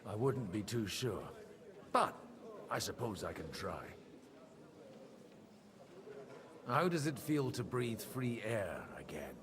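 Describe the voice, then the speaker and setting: Clear background noise sits behind a middle-aged medieval priest. His mid-pitched voice moves a lot as he talks in a dry, wry, grumbling way, a world-weary reluctant lord from a video game. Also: sing-song, unhurried. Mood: wry, curious. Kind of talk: video game dialogue acting.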